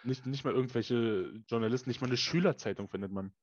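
A man's voice: mid-range voice, fast, dry recording. A man on a casual sports podcast who makes dry, joking remarks. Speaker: podcast co-host. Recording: dull, dry lo-fi sound.

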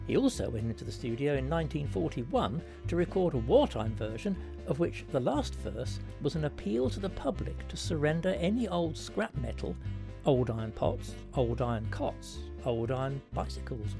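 A man's voice: on the high side, sing-song, moderate pace, creaky, over music. A man presenting a scripted quiz segment in a wry, radio-style manner.

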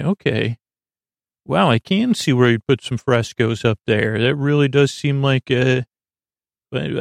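A man hosting a silly bedtime podcast, talking in a meandering, halting way with frequent stammers and tangents. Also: medium pitch, creaky, no room sound. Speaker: podcast host.

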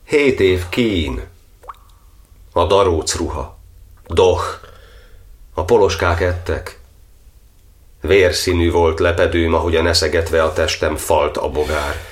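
An actor playing an old, suffering cardinal in an audio drama, declaiming a brooding verse monologue in an anguished way. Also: male voice, deep voice, pauses often, lightly acted. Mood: sombre, remembering. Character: imprisoned Hungarian cardinal, old, suffering, brooding over his martyrdom.

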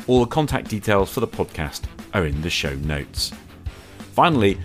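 A man hosting a podcast, talking in a conversational way to his listeners. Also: deep voice. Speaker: podcast host.

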